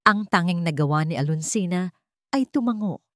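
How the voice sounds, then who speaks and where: Female narrator for a melodramatic story, lower register, scripted read, very dry studio-like sound. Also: expressive, moderate pace, no room sound.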